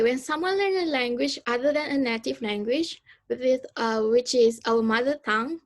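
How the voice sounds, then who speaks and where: A young woman with a Malaysian accent presents her research project as a student. Her voice sits high, and she talks with frequent fillers and self-corrections. Her first language is Malay. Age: young adult. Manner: hesitant, many fillers and restarts. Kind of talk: academic presentation.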